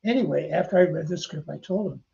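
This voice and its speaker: An older man tells anecdotes in a chatty podcast style, turning to his listeners now and then. His voice is heavily gravelly and mellow, a little high for a man, and the recording sounds dull and lo-fi. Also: fast, slight background noise. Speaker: podcast host and author. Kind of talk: casual storytelling narration.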